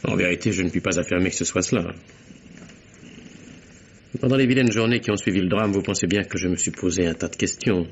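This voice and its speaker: An actor playing an earnest secretary in a vintage French radio mystery, speaking in a measured, formal and precise way. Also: male voice, deep voice. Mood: grave, composed. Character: earnest male secretary recounting a deadly affair. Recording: dull vintage radio recording, faint hiss.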